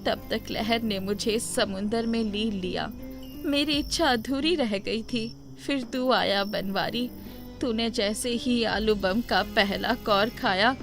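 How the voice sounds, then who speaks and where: Woman playing a kindly elderly grandmother spirit, affectionate, reassuring, storybook cartoon, background music. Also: fairly high pitch. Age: older. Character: kindly old grandmother, later a gentle ghost. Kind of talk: Hindi animated moral story acting.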